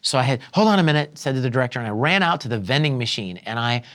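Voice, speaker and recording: A man's voice: mid-range voice, rapid-fire. A male voice actor telling behind-the-scenes stories in an interview, acting out the lines he quotes. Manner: lively storyteller acting out quotes. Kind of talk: interview anecdote.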